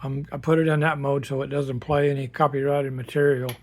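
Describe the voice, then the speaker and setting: A man giving a casual, unscripted demonstration as a hobbyist builder, plainspoken and matter-of-fact, with a halting delivery. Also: medium pitch, level tone. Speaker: hobbyist builder presenting a project.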